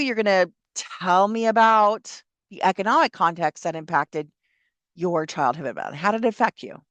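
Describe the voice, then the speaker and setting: Older woman instructor, chatty, encouraging, fillers, lowish voice, dry sound. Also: fairly bright tone, no echo. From Southern California. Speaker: college instructor. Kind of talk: casual classroom explanation.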